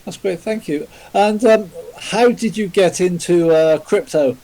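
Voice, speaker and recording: A man's voice: sits high, measured pace, mellow. A man hosting a live podcast over a voice chat, polite and formal, with many fillers. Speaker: podcast host, interviewer. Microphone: remote voice chat call.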